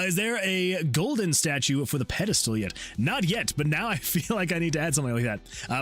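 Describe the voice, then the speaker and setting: A man hosting a game development livestream, chatting casually with viewers and rambling excitably as he thinks out loud. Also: a little high. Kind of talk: casual chat with stream viewers while working. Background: background music.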